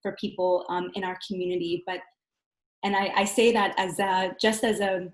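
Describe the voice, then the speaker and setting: A woman giving a personal account as a panel guest, earnest and reflective, often halting to rephrase herself. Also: medium pitch, flat delivery. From Southern California, United States. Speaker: panel guest, community coordinator. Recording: roomy, echoey sound.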